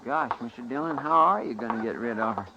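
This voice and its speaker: An actor playing an earnest, easily flustered deputy sidekick with a folksy, deferential manner in a 1950s western radio drama. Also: male voice, mid-range voice, quick pace, highs cut off, lightly acted. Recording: very noisy vintage radio recording.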